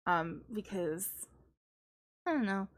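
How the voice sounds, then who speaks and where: A woman's voice: medium pitch, expressive, takes it slowly. Chatty young woman thinking aloud. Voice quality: slightly nasal at times. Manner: thinks aloud, opinionated, analytical about singing. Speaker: classical vocalist streamer. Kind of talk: casual live-stream reaction commentary.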